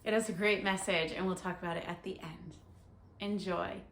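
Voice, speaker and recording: A woman's voice: on the low side, steady tone, moderate pace, a bit bright, roomy sound. A woman hosting a read-aloud for children, speaking to them directly and instructively. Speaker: storytime host and reader.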